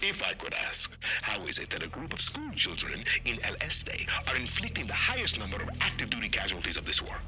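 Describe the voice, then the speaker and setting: Menacing, theatrical, wide-ranging dictator. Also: male voice, lowish voice, huge pitch swings, poor audio. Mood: quietly threatening. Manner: measured, deliberate delivery with pointed pauses. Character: cold, menacing middle-aged male dictator, theatrical and controlled. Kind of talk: cinematic video game acting. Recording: music behind the voice.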